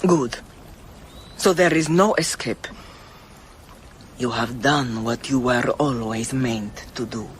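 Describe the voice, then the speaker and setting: A man acting in a radio drama as a guilty, fatalistic conspirator, world-weary and measured in delivery. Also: mid-range voice, slight background noise, lightly acted. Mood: resigned.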